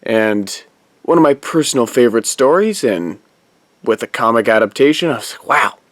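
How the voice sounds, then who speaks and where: A man giving an informal announcement as an audio drama producer, in halting, deliberate phrases. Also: medium pitch, animated, fairly bright tone, dry recording. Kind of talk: informal post-credit announcement.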